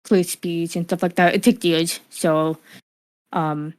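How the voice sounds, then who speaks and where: A woman's voice: lowish voice, fairly even intonation, takes it slowly. Young woman streamer with a speech impediment. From Cuban, living in the USA. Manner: speech impediment from hearing loss; frequent fillers and restarts, laughs easily. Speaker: streamer, interview guest. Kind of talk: casual interview answers.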